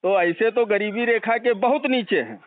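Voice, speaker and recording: A man's voice: sits high, moderate pace, no room sound. A middle-aged man speaking respectfully and earnestly, pleading his case as a caller in an informal phone conversation. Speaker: contract school teacher, caller. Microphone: telephone. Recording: muffled recorded phone call.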